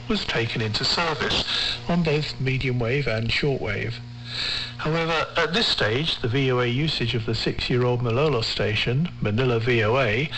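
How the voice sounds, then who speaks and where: A man's voice: medium pitch, fairly bright tone. Man reading radio feature over noisy shortwave. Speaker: radio reporter and presenter. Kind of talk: scripted radio feature narration.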